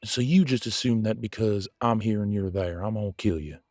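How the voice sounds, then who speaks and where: A man playing a cocky, casual werewolf veterinarian in an audio drama, gruff but soft-hearted, with a streetwise way of talking. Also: fairly low pitch, a little fast, dry recording, clean studio sound, natural voice. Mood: frank. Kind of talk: audio roleplay drama dialogue.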